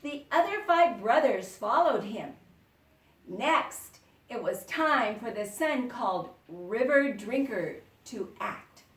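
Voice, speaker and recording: A woman's voice: a little high, lively intonation, slow pace, a bit bright. A woman reads a children's story aloud in a roomy, echoing space, a little away from the mic. She takes her time and performs it dramatically, stopping to explain words and ask the young listeners questions. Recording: roomy echo, distant microphone.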